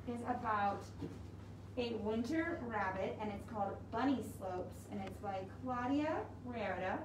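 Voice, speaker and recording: A young woman leading a preschool storytime, giving animated, simple instructions to small children, her voice slightly muffled by a face mask. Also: on the high side. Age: young adult. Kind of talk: preschool storytime talk, songs and read-aloud. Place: indoor library room with large windows. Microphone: camera a few metres away. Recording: roomy, distant mic, clear background noise.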